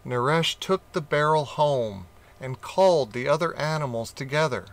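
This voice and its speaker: A man reading a children's storybook aloud with gentle, expressive narration. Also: on the high side, normal speed. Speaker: audiobook reader.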